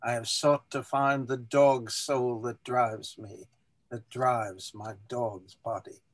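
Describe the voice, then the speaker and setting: A man's voice: mid-range voice, steady tone, takes it slowly, no room sound, pitched below the performer's own voice, close to an everyday voice. A man voicing an earnest, grandiloquent dog in a solemn, theatrical story reading. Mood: earnest, confessional. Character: earnest, grandiloquent male pet dog confessing his wildness. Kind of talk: dramatic literary story reading.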